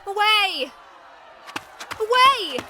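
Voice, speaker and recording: A woman's voice: very high-pitched, animated, very slow, exaggerated voice. A woman playing an anxious, loyal friend who witnesses a brawl, urgent and emphatic in a Shakespeare audio drama.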